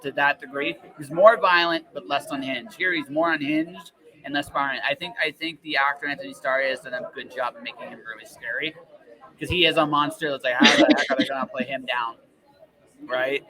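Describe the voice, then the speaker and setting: A man's voice: mid-range voice, fairly quick, a crowd in the background, poor audio. A man hosting a casual podcast conversation, talking emphatically and often repeating his words and phrases for stress. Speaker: podcast host. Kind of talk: casual interview chat.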